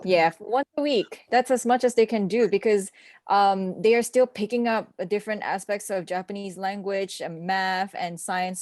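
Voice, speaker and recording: A woman's voice: mid-range voice, darkish tone, no echo, clean studio sound. Chatty young woman on a video call. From Malaysia. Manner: chatty, many fillers and little laughs. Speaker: assistant language teacher, session participant. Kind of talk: casual online conference discussion.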